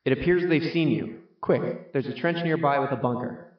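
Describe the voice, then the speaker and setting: A man's voice: mid-range voice, natural voice. Man as a grim echoing phantom soldier. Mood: cautioning. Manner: ominous, measured, cryptic. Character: grim male phantom soldier giving ominous warnings. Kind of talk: audio drama acting. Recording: echoing, distant, muffled, loud music behind.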